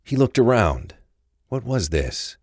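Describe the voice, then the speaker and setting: A man's voice: mid-range voice, big pitch swings, takes it slowly, darkish tone, no echo, clean studio sound. A man reading scripted narration for a crime thriller story.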